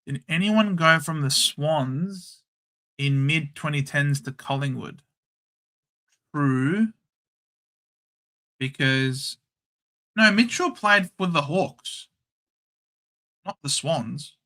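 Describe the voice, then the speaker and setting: A man's voice: fairly high pitch, slow pace, long pauses, pushed and bright. An Australian man hosting a casual livestream, chatting, thinking aloud and reading out viewers' names. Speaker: livestream host.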